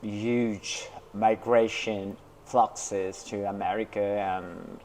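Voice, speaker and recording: Interview guest, male, from New York, slow and halting, lots of um and uh, low-ish voice. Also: fairly even intonation, darkish tone, a little hiss or hum. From Brooklyn, New York. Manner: hesitant, many fillers and restarts. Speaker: interview guest, artist and teacher. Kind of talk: casual interview talk.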